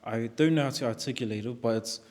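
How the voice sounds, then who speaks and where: A young business owner from South Auckland with a New Zealand accent, talking casually in an interview and often pausing to search for words. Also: male voice, medium pitch, darkish tone. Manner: halting, searching for words, says "bro".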